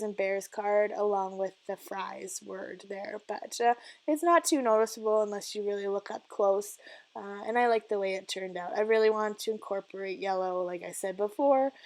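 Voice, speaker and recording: Husky-voiced woman chatting casually. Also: medium pitch. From Canada. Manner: chatty, many "uh" fillers and soft laughs. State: husky, croaky voice. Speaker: scrapbooking vlogger. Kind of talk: casual voice-over narration of crafting. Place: home basement craft area.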